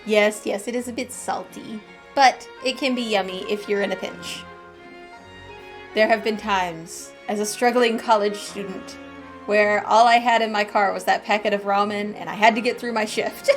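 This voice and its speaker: A woman's voice: medium pitch, fairly even intonation, normal speed. A woman hosting a gaming channel, giving casual let's-play commentary with chatty, colloquial asides. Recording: game music behind the voice.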